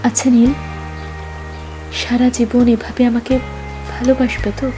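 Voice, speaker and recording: An actress playing a sweet, anxious, teasing young girlfriend with a Bengali accent in an animated love story. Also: on the high side, moderate pace, natural voice. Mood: tender worry. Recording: music behind the voice. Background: music.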